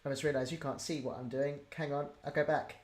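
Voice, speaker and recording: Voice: mid-range voice, fairly even intonation, fast, a little mellow, a little hiss or hum. An enthusiastic, informal host chatting casually on a livestream.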